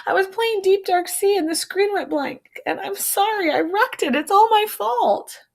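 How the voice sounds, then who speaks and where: A woman voicing an anxious, excitable young boy in a children's storybook, exclaiming and fretting. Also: very high-pitched, unhurried, creaky, pitched above the performer's own voice, heavily acted. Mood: panicked confession. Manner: exclamatory, worried. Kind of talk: children's storybook read-aloud.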